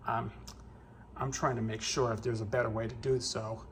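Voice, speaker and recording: A man giving a casual, unscripted how-to narration as he works on a repair, halting and hesitant as he thinks aloud. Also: mid-range voice, flat delivery, a little hiss or hum. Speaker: hobbyist repairer presenting own video.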